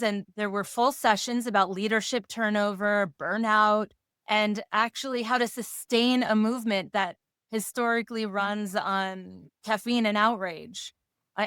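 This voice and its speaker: A woman co-hosting a podcast in a casual, playful way, slightly hoarse and given to jokes and lists. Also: medium pitch, fairly bright tone, no echo, studio quality. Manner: playful, jokey, talks in lists. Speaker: podcast co-host. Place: Rochester area.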